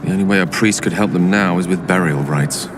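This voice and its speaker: Man playing a deep, dry-witted adventurer. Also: brisk, background noise, lightly acted. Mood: dry, musing. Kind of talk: video game acting.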